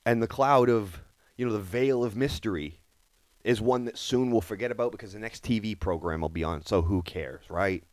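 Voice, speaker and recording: A man's voice: on the low side, normal speed, dry recording. A man hosting a podcast, giving indignant, emphatic commentary on current affairs and repeating phrases for stress. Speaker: podcast host.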